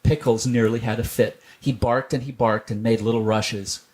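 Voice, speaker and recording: A man's voice: mid-range voice, fairly even intonation, moderate pace, a little mellow. A man narrating a children's story as a scripted storyteller.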